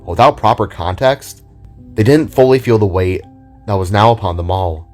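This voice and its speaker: A man's voice: on the low side, normal speed. A man reading scripted narration for an audio drama. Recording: music under the voice. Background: music.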